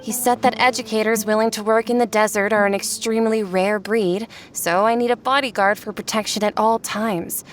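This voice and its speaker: A woman's voice: medium pitch, steady tone, normal speed, few pauses, a little hiss or hum, lightly acted. A woman playing a polite, modest, dedicated teacher with an earnest, apologetic manner in a video game.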